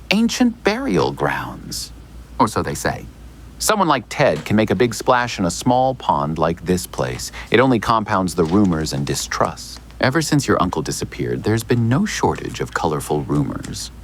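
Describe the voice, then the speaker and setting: An actor playing a smooth, evasive small-town lawyer in an audio drama, speaking in a measured, theatrical, hinting way. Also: male voice, on the low side, slight background noise, heavily acted.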